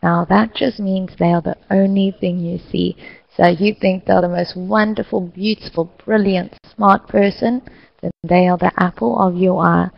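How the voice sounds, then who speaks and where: A woman facilitating an online English lesson, speaking slowly and clearly to encourage her students. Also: on the low side, fairly even intonation, no room sound, muffled recording. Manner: slow, clear, encouraging teacher talk. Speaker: English teacher hosting an online class.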